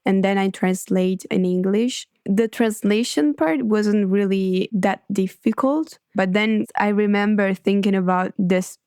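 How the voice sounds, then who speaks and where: Young woman guest, Italian first language, earnest and even, smooth voice, little pitch movement. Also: no echo, clean studio sound. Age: 20s. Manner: measured, earnest. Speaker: guest poet.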